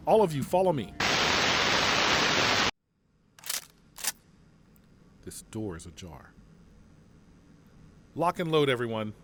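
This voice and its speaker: An actor playing a male general who leads his troops, giving crisp, authoritative orders. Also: mid-range voice, very noisy, close to an everyday voice. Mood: commanding. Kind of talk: fan audio drama acting.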